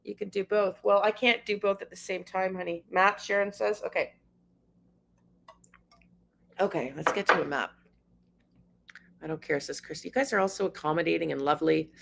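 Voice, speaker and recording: A woman's voice: lowish voice, steady tone, normal speed, vocal fry, pauses often, slight background noise. A middle-aged woman, hosting a casual livestream, reading news aloud and chatting with viewers by name. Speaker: livestream host.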